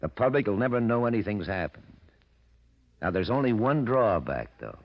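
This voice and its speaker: An actor in 1940s radio style playing a calculating, ambitious gangster boss, cool, boastful and menacing. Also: male voice, on the low side, very animated, normal speed. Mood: smug scheming. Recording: muffled old radio drama recording.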